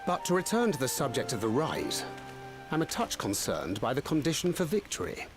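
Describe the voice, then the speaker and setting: A thoughtful male advisor from a video game, formal and articulate, with a mid-pitched voice that moves expressively. Music plays clearly underneath. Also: huge pitch swings, brisk. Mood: concerned. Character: measured, thoughtful male advisor, mildly concerned. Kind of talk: video game cutscene acting.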